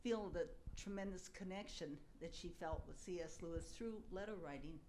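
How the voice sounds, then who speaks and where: A woman's voice: lowish voice, normal speed. A woman in her seventies asking a casual, personal question from the audience, searching for her words as she goes. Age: 70s. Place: live book talk audience. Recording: slight noise on event recording.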